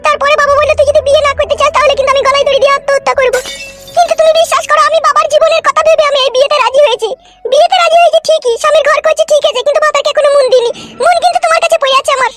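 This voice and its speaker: A defiant young cartoon wife with an extremely high, chipmunk-pitched squeak. She scolds and insists in a rapid-fire, bossy stream over loud music. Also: steady tone. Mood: insistent. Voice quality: squeaky, childlike. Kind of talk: amateur comedy cartoon dubbing.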